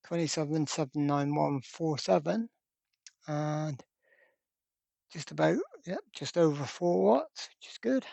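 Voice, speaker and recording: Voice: medium pitch, measured pace, gravelly, mellow, no room sound. A presenter giving a casual workbench repair demonstration, thinking aloud step by step in an informal way. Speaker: electronics repair hobbyist presenter.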